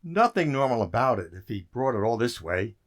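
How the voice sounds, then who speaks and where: A man's voice: medium pitch, big pitch swings, fairly quick, natural voice. A man playing an eager, intrigued code expert in a radio drama, musing with emphasis. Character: intrigued, eager male code expert puzzling over symbols. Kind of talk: radio theater acting.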